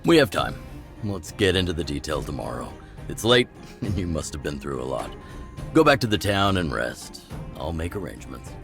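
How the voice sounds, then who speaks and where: A man playing a gruff but kindly older underground leader in a video game, with a gravelly voice and an unhurried, avuncular manner. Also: very deep voice, big pitch swings, exaggerated voice. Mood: calm, reassuring. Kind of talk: video game story dialogue. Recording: clear music under the voice.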